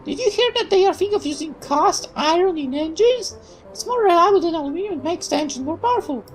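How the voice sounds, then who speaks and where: A woman reading aloud as a spirited young female mechanic in a visual novel, talking in a high, exclaiming, indignant voice. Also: lively intonation, fast, faint music behind, rough recording. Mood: eager.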